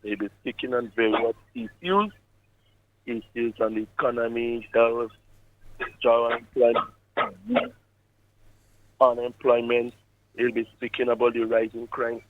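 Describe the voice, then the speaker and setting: Male caller, Grenadian accent, medium pitch, tinny phone sound, making a public announcement. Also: fairly even intonation, measured pace, long pauses, no echo. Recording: thin narrowband phone line.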